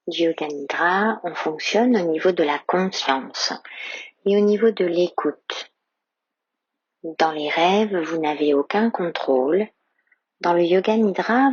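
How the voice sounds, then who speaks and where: A woman guides a yoga nidra session in a slow, hushed, breathy voice, drifting into whispers. Her tone sits a little low, and the close, dry recording sounds somewhat dull. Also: no room sound. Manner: slow, soft-spoken guidance with whispered phrases. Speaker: yoga teacher.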